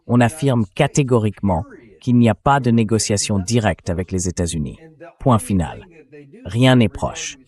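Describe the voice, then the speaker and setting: A man's voice: on the low side, warm tone. A man hosting a political interview, speaking in a dubbed news commentary style. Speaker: interview host.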